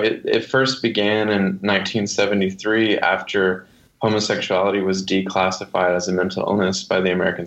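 A man's voice: low voice, a bit bright. A man speaking conversationally as a guest author being interviewed, on a slightly dull recording.

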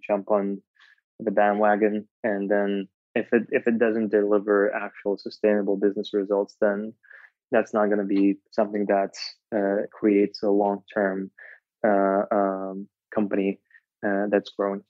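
A man, a startup founder answering interview questions in a halting way, with many filler sounds. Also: lowish voice, completely flat delivery, no echo, phone-quality sound. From Russia.

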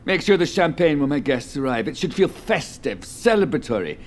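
An actor playing a controlling, smooth wealthy boss in an audio drama, speaking with measured, condescending authority. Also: male voice, a little high, very animated. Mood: commanding.

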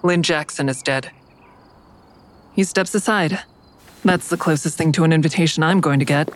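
A woman's voice: deep voice, moderate pace, noisy background, lightly acted. A woman playing a guarded, blunt protagonist in an audio drama, narrating and speaking with a dry, terse manner. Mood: probing, guarded. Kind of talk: first-person audio drama narration and dialogue.

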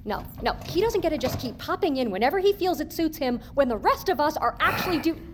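A woman's voice: sits high, expressive, fast, noisy background. An actress playing an outspoken, skeptical woman scientist in an audio drama, arguing with emphasis and sarcasm. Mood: indignant. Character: combative, skeptical woman marine biologist, indignant and outspoken. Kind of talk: audio fiction drama acting.

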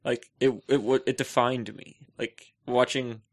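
A man co-hosting a casual podcast, rambling in a joking, animated, mock-indignant way. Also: mid-range voice, a little slow. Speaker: podcast co-host.

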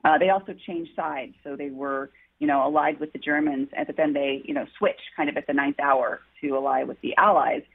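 A woman's voice: low-pitched, steady tone, fairly quick, vocal fry, breathy edge. A woman author answering interview questions, telling her story in an earnest, heartfelt way. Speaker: author guest. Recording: tinny phone-line audio.

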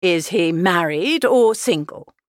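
A woman voicing a middle-aged husband and father, dry and teasing, with a deadpan, unhurried, sardonic manner, in an audiobook. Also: on the low side, no echo. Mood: dry curiosity. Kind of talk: dramatised audiobook character reading.

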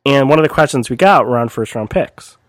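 A man co-hosting a casual sports podcast, a little raspy from a cold, talking in a rambling way with lots of fillers. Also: mid-range voice, animated, brisk, no room sound. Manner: rambling, many fillers and restarts. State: recovering from a cold, slightly raspy. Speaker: podcast co-host.

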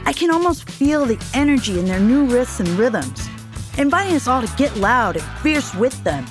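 A woman's voice: fairly high pitch, big pitch swings, normal speed. A woman reading a scripted children's podcast story, addressing her listeners in an encouraging way. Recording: music behind the voice.

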